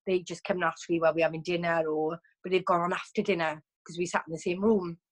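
A chatty woman with a fairly low voice, talking as a guest over a video call. She keeps going with many ums and restarts. Her tone is easy and conversational. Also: flat delivery, fast talker.

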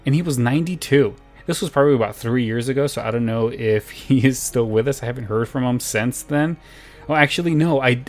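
Casual male podcast host over soft music. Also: medium pitch. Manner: informal, hesitant, conversational. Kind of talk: casual introduction with rambling anecdotes.

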